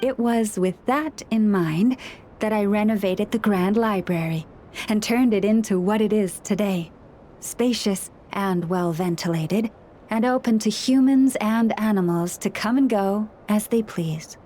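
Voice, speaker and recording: An actress playing a gentle, caring woman who looks after forest animals, speaking in a soothing, measured way in a video game. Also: medium pitch, slight background noise, close to an everyday voice. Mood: serene, earnest. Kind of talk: video game character dialogue.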